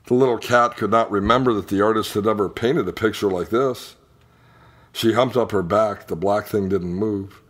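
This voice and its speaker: A man reading a children's picture book aloud in an expressive storytelling style. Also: low-pitched, husky. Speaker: storybook reader.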